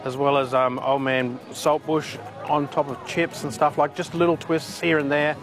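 A man's voice: on the high side, flat delivery, normal speed, over music. A man speaking casually in an interview, loose and full of fillers.

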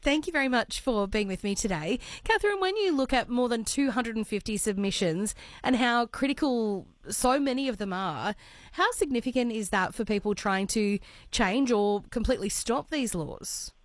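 A hoarse woman with an Australian accent, hosting morning talkback radio in a chatty, friendly way. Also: fairly high pitch, lively intonation, normal speed, no room sound. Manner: chatty, friendly, with some ums and uhs. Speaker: radio host. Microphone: studio microphone.

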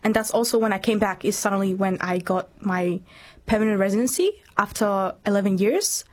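Earnest young woman, from Myanmar. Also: medium pitch. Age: 20s. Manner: earnest, measured, reflective. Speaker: interview guest. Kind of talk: personal testimony in interview.

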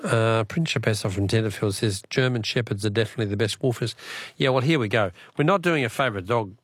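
A man's voice: on the low side, quick pace, no echo, clean studio sound. An Australian man hosting a live phone-in radio quiz, chatting with callers in a jokey, bantering way.

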